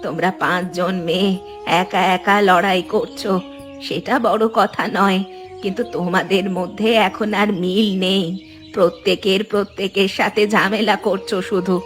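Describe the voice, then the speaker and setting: A woman voicing a wise, grateful old village grandmother in a cartoon, motherly and measured as she gives advice. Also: medium pitch, fairly even intonation, faint music behind, lightly acted. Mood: gently advising. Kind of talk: cartoon dialogue.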